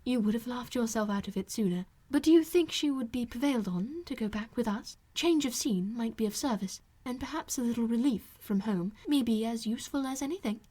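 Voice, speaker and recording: A woman voicing a sensible, kindly married aunt in an audiobook, elegant and composed, with measured, reasonable delivery. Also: fairly high pitch, dark tone, natural voice. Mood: sympathetic, reasoning. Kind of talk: audiobook character voice.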